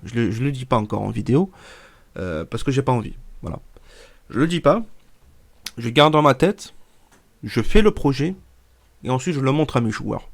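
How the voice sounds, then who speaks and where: Twenties male YouTuber, congested, mid pitch, rambling vlog talk, restarts. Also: creaky. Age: 20s. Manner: rambling, many fillers and restarts. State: stuffy from a cold. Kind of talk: casual vlog talk to viewers.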